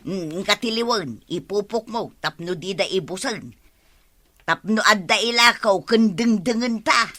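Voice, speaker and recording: A tired-out village wife on radio, nagging, bright tone. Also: female voice, medium pitch, a little slow. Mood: coaxing. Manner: scolding, exasperated. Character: fed-up wife of a hot-headed village man. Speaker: radio drama actor. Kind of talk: radio comedy drama acting.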